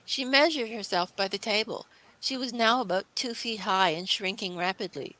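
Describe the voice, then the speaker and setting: A woman narrates a classic children's story from a script. Her voice is bright and forward, at a middling pitch, in a dry, close sound. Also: normal speed, no echo, poor audio.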